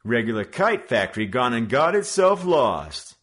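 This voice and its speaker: A man's voice: mid-range voice, big pitch swings, moderate pace, a bit bright, voice pushed up. A man voicing a young male hoodlum gang leader who bullies and mocks in slangy tough talk. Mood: mocking. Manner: mock-judicial, bullying, slangy tough talk.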